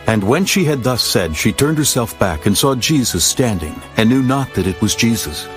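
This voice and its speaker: A man narrating scripture in a solemn, steady reading. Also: mid-range voice, normal speed. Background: soft music.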